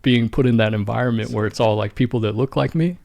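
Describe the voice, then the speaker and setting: Young male host from St. Louis, gravelly, lowish, relaxed and rambling, dry close sound. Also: fast, dry recording. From St. Louis, Midwest US. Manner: laid-back, rambling, heavy use of "like". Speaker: podcast host. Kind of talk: casual conversation.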